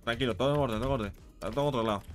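A man talking very quickly in game voice chat, his pitch ordinary. He repeats phrases and swears casually, and music runs underneath. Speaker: gaming teammate on voice chat.

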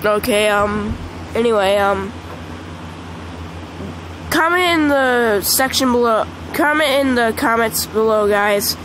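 A woman with a congested voice chatting casually over mobile gameplay, excitable and squealing at the game. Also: fairly high pitch, slow, long pauses, noisy background. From Michigan. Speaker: gaming channel host. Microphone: phone screen recording.

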